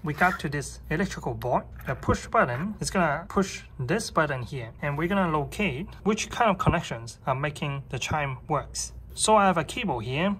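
A man's voice: a little high, animated, normal speed, hardly any pauses, a bit bright, slight background noise, rough recording. A man in his thirties presenting a casual, hands-on tech tutorial and explaining it step by step.

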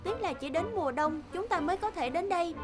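A merry fairy in a cartoon tale, put on by a woman, very high in pitch. She speaks gleefully, with music clearly playing behind. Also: animated, fast. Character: merry fairy feasting with companions.

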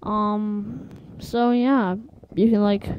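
A woman presenting a casual software tutorial in a halting, informal, offhand way. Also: mid-range voice, steady tone, muffled recording, a little hiss or hum.

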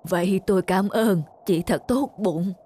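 A woman's voice: medium pitch, unhurried, dark tone, natural voice. A woman voicing a humble, grateful old street vendor in a moral-tale cartoon, speaking in a pleading, thankful manner. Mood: humble gratitude. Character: frail, humble, grateful old woman selling lottery tickets.

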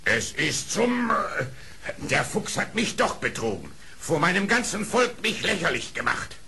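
A man's voice: high-pitched, big pitch swings, a little slow, sharp tone, full character voice. An actor playing a proud, stern animal king with a declamatory, regal manner in a storybook radio play. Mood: frustrated. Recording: faint hiss of old record.

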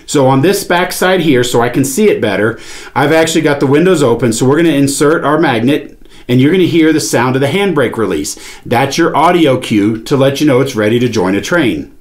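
A man's voice: mid-range voice, expressive, brisk. A man in his forties giving an instructional product demonstration, explaining things step by step. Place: small indoor studio with padded panel wall, seated at a table.

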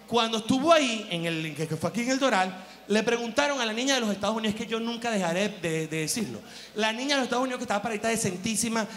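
A man's voice: high voice, fairly bright tone. A man, doing rambling stand-up comedy on a theater stage with a handheld mic, jumping into exaggerated put-on quotes. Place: large theater stage with live audience.

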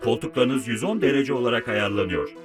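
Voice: medium pitch, expressive, brisk, close to an everyday voice. An actor voicing a polite, unflappable talking car computer in a children's cartoon, making formal announcements with music behind.

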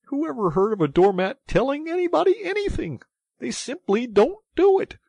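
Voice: sits high, sing-song, normal speed, creaky, a little mellow, husky, no room sound, voice pushed up, heavily acted. A narrator voicing a grumpy, injured mole from a storybook, plaintive, complaining and stubbornly literal, with emphatic delivery. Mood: pettish, indignant. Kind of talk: animated storybook character reading.

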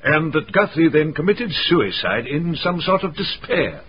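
Courteous, formal male solicitor, measured wording, radio drama, dull noisy recording. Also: a little high, big pitch swings. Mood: polite, probing. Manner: formal, polite. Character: courteous, careful gentleman lawyer. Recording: muffled radio recording, faint noise.

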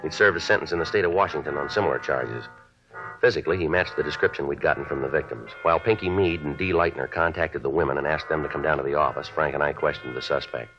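A terse American police detective in a vintage radio drama. His voice sits very low and moves briskly, with a deadpan, businesslike edge. The sound is dull, like an old broadcast. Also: soft background music. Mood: deadpan narration. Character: clipped, businesslike male police detective, coolly relentless. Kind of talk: 1950s radio drama acting.

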